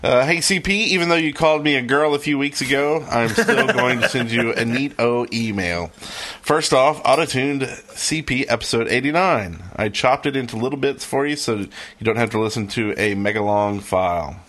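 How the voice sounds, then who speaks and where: A man's voice: medium pitch, normal speed, a bit bright. A man co-hosting a podcast, reading listener emails aloud in a loose, off-the-cuff way. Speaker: podcast co-host.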